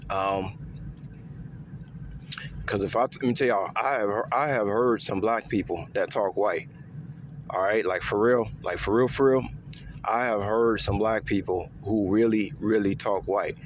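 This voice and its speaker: Low-voiced man rambling in a loose monologue. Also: a little hiss or hum. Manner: rambling, repeats himself, many fillers; pitch swings up and down. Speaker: vlogger. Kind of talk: casual off-the-cuff monologue.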